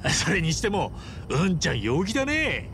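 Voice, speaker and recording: A man voicing an easygoing but fearless Japanese tough traveller in a video game cutscene, with casual, rough street speech. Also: fairly high pitch, wildly swooping pitch, normal speed, a bit bright, slight background noise, heavily acted. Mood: friendly, curious.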